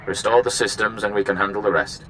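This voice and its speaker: Commanding warship captain for a video game, male actor, somewhat deep and sharp, formal, urgent. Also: steady tone, fast, natural voice. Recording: faint noisy battle ambience.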